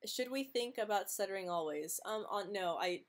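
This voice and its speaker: A young woman who stutters, answering viewer questions in a casual live stream, speaking softly with frequent repeated sounds and light laughs. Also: medium pitch, animated, moderate pace. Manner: soft-spoken, laughs lightly between answers.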